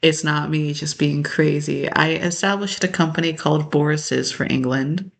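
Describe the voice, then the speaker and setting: Rambling vlogger with a gravelly fry. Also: medium pitch, fairly even intonation, moderate pace. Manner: rambling, jumps between topics, trails off. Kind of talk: casual rambling monologue to camera.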